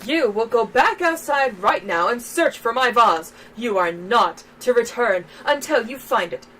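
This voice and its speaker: A woman's voice: a little high, lively intonation, unhurried, a bit bright, rough recording. A woman voicing a haughty, stern noblewoman who gives clipped, imperious commands in a game story. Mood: cold anger. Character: haughty, stern noblewoman scolding a servant girl.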